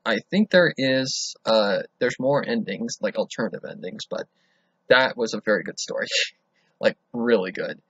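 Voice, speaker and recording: A man reacting to a story, excitable and thinking out loud, swearing now and then. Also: fairly low pitch, normal speed, slightly rough, dry recording. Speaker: reaction video host. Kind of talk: casual reaction commentary. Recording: dull lo-fi home recording.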